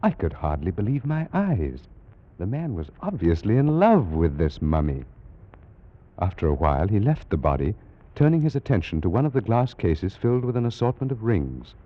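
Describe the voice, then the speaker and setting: An actor playing an astonished gentleman student of Egyptology, narrating and speaking dramatically in an old-time radio drama. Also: lowish voice, very animated, normal speed. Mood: hushed awe. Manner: dramatic, stammering when startled. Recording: muffled old radio recording.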